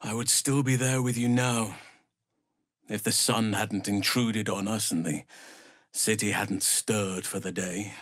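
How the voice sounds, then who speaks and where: An actor playing a calm, earnest and wise male druid healer, protective in temper, for a video game. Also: on the low side, measured pace, no echo, studio quality. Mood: grave, reflective. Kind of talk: video game character voice lines.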